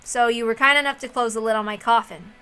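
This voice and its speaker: Woman playing a wary, sardonic man, bright-voiced. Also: fairly high pitch, fairly even intonation, quick pace, smooth. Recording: music under the voice.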